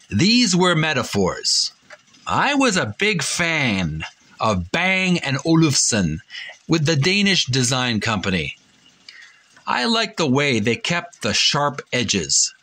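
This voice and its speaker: A man hosting a video game channel, speaking casually or reading aloud in a halting, deliberate, phrase-by-phrase way. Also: medium pitch, sharp tone. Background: background music.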